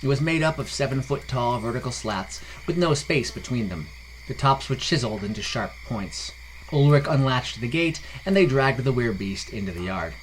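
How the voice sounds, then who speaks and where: A man's voice: medium pitch, animated, moderate pace, a little hiss or hum, poor audio. A man narrating a scripted fantasy story as a podcast storyteller.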